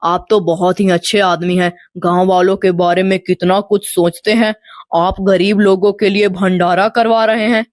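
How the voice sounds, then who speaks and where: A woman's voice: medium pitch, fairly even intonation, moderate pace, no room sound, clean studio sound, pitched below the performer's own voice, close to an everyday voice. A woman voicing a scheming, jealous village man with a sly, fawning manner in a storybook tale. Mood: falsely sweet.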